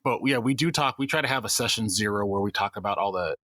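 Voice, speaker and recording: A man's voice: fairly low pitch, fast talker, bright, forceful tone, dry recording, studio quality. A man who runs a podcast game, talking casually on a panel and acting out the questions he asks his players.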